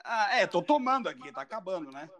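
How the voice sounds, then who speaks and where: A man's voice: high voice, very animated, a little fast, fairly bright tone. A man in a casual comedy chat, playful and telling corny jokes. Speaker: co-host.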